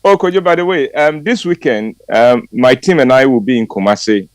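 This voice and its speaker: An older Ghanaian man in his sixties speaks on morning radio in a measured, unhurried way, with hesitant ums and soft chuckles. His voice sits at a middle pitch and sounds close and dry. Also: no room sound. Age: 60s. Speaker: regular commentary guest. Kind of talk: warm radio chat and read commentary.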